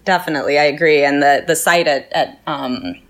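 A woman hosting an interview, asking her guests questions and answering with quick agreement. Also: sits low. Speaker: interview host. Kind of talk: conversational interview.

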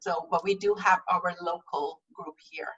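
A woman's voice: lowish voice, very animated, a little slow, gravelly, fairly bright tone, slightly rough, recorded from a distance. A woman speaks in an easy, earnest way and repeats words as she thinks. She sits a little back from the mic, and the recording sounds muffled. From Guyana. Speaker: guest, cycling group organizer. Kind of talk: casual interview talk.

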